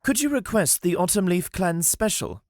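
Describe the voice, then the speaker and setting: A man voicing a cheerful, excitable young male elf with a lifted, eager voice. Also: sits high, normal speed, no room sound, studio quality, pitched above the performer's own voice. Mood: upbeat.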